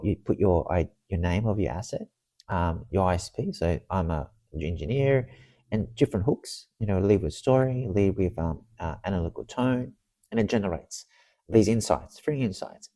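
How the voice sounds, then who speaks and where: A man with a cold-roughened voice gives a casual, unscripted software walkthrough, rambling and often restarting his sentences. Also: low-pitched, dry recording. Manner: rambling, halting, restarts sentences and repeats words. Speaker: software demo presenter for marketers.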